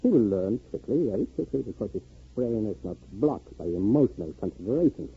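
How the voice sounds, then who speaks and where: A man's voice: lowish voice, sing-song, fairly quick, husky, exaggerated voice. A man playing a secretive, proud professor in a 1950s radio drama, fussy and hesitant, often stammering. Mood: proud, lecturing. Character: flustered, secretive professor-inventor, proud of his creation. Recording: muffled vintage 1950s radio recording.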